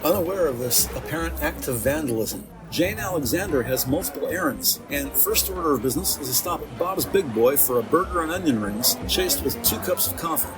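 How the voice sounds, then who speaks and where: Higher-pitched male storyteller over music. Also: animated, a little fast, hardly any pauses, rough recording. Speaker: narrator. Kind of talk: scripted audio drama narration. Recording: music behind the voice.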